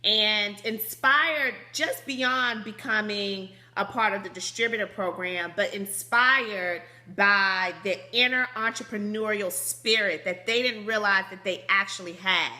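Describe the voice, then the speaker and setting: A woman in her thirties with a high, slightly squeaky voice, hosting a business conference call in an upbeat, chatty way over a phone line. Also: measured pace, few pauses, pushed and bright. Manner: bubbly, laughs often, chatty. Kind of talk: upbeat motivational call talk.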